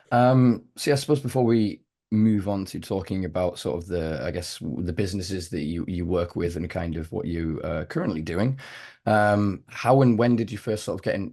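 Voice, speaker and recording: A man hosting a casual podcast interview, hoarse and losing his voice, speaking slowly and quietly to spare it. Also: low-pitched. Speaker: podcast host.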